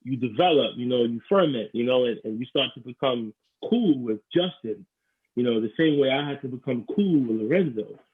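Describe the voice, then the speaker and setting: A man's voice: mid-range voice, lively intonation, moderate pace, breathy edge. A young man from New York hosting a podcast, talking earnestly and often saying "you know". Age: young adult. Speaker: podcast host. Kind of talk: podcast conversation. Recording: tinny phone-like line.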